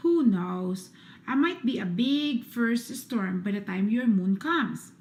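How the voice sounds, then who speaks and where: A woman reading children's bedtime stories aloud in a deliberate storytelling manner. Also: medium pitch, lively intonation, darkish tone. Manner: slow, deliberate read-aloud delivery. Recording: roomy echo, music behind.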